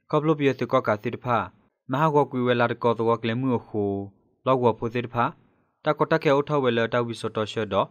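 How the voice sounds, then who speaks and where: A man's voice: mid-range voice, no room sound, muffled recording. A man presenting scripted news as a radio newsreader.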